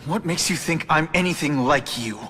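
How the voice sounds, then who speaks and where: A man's voice: fairly high pitch, moderate pace, pushed and bright, faint music behind. An actor playing a young male superhero in a video game, earnest and reproving with dry wisecracks. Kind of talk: video game hero voice acting.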